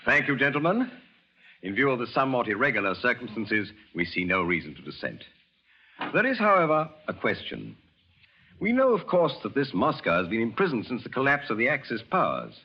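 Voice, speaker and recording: An actor in a 1940s radio drama playing a formal, declamatory judge presiding over a tribunal. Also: male voice, mid-range voice, very animated, fairly quick. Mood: formal, measured. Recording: noisy, dull vintage radio recording.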